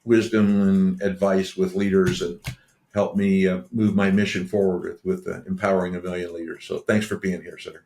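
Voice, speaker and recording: A man's voice: deep voice, flat delivery, normal speed, gravelly, a little mellow. A man hosting an interview podcast, talking casually and rambling, often repeating himself and restarting sentences. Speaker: podcast host. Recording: roomy echo.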